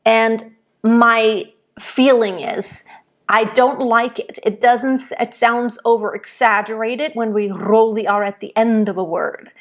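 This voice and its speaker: A woman from Germany, a vocal coach explaining diction in a slow, deliberate lesson. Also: fairly high pitch, fairly even intonation.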